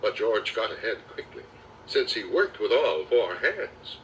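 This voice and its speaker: Male children's audiobook narrator, slow and lively, dramatic storytelling style, slightly distant cassette sound. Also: lowish voice, slight background noise. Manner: expressive, dramatic storytelling delivery. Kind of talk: children's storybook read-aloud.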